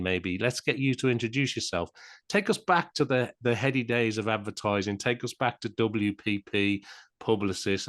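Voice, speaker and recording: A man hosting a podcast, introducing his guest in a friendly, slightly halting way. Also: fairly low pitch, no room sound, clean studio sound. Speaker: podcast host.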